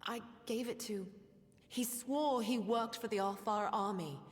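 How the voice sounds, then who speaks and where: An actress playing a quiet, guilt-ridden soldier in a fantasy video game, speaking earnestly and hesitantly. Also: female voice, fairly high pitch, measured pace, close to an everyday voice. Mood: remorseful. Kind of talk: video game character acting. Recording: long reverb, music behind.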